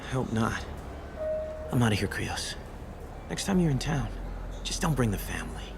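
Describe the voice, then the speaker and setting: An actor playing a nervous young street hustler and informant in a video game, slangy and prone to stammering. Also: male voice, medium pitch, big pitch swings, normal speed, over music. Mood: wary. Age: young adult. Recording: clear background noise, vehicle ambience.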